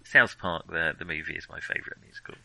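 A man's voice: low voice, fast, gravelly, pushed and bright. A man co-hosting a casual film podcast, speaking tentatively and in a conciliatory way. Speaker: podcast co-host.

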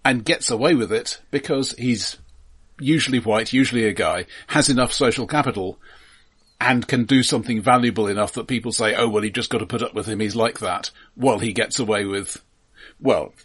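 A man co-hosting an analytical but casual discussion, haltingly repeating words as he thinks. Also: fairly low pitch, a bit bright, slightly rough. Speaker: film podcast co-host.

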